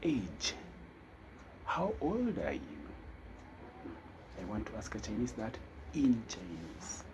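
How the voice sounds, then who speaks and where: A man teaching a casual spoken lesson, talking slowly and thinking aloud with fillers. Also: on the low side, wildly swooping pitch, background noise. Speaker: language teacher.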